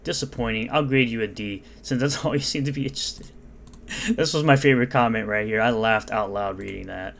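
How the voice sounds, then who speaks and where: A man's voice: mid-range voice, a little fast, gravelly, fairly bright tone, slight background noise. A man reading out and mocking comments in an unscripted, sarcastic rant. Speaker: commentary YouTuber.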